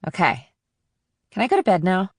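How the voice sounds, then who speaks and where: A woman's voice: lowish voice, wildly swooping pitch, normal speed, husky, dry recording, clean studio sound, exaggerated voice. A woman playing a sarcastic, guarded, streetwise teenage girl in audiobook dialogue, with a flippant manner. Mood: matter-of-fact.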